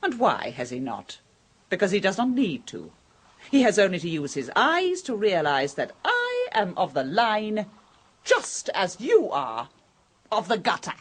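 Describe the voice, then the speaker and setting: An actress playing a teasing, penniless countess, mocking and playful in a radio drama. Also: female voice, mid-range voice, huge pitch swings, a little slow, husky, full character voice. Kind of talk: 1970s radio drama acting. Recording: old radio recording.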